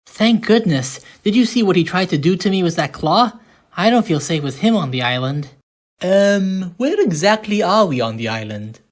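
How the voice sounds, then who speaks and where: A woman's voice: fairly low pitch, very animated, normal speed. A woman voicing an earnest, easily rattled little engine in a cartoon comic dub. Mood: nervous. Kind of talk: animated comic dub acting. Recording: music behind the voice.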